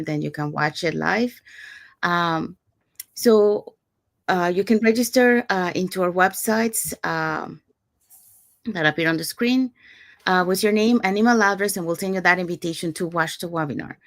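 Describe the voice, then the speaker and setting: A woman's voice: on the low side, no room sound, studio quality. A woman from Panama hosting a live online talk over a video call, chatty with many fillers. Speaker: host. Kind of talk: casual livestream hosting.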